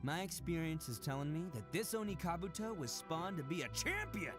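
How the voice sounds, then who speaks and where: An actor playing a brash, boastful oni who brags as a beetle-fighting expert, with nervous laughs, in a video game. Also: male voice, fairly high pitch, big pitch swings, a little fast. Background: game music.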